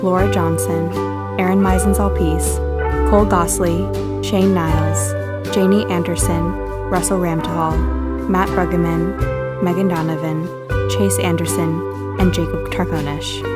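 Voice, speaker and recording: A woman announcer reads scripted credits. Her pitch sits a little below average for a woman. Music plays loudly beneath her. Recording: loud music bed.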